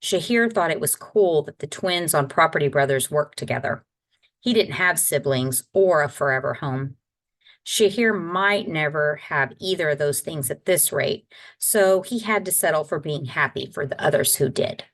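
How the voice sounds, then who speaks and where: A woman's voice: deep voice, expressive, normal speed, a bit bright. A woman reading a children's novel aloud as a guest storyteller, in scripted narration. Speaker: guest reader.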